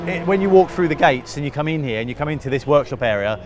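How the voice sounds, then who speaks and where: A man's voice: fairly high pitch, lively intonation, fast talker. A man hosting a vlog at an outdoor event, chatting casually and encouragingly with craftspeople and finishing their sentences. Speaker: vlogger and event workshop host. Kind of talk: casual on-site interviews and vlog talk. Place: outdoors at a busy motor racing event. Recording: outdoor crowd noise. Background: crowd chatter.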